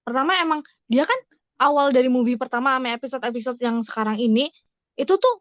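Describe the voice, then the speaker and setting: High-pitched woman, dry slightly dull sound. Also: fast, fairly bright tone, no echo. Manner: questioning, mixes Indonesian with English phrases. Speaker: podcast co-host asking questions. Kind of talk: casual podcast chat.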